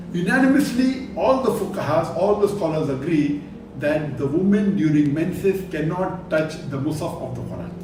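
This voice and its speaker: A man gives a religious lecture, speaking deliberately and emphatically in short, repeated phrases. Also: on the high side, background noise. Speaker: Islamic preacher answering a religious question. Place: indoor studio set.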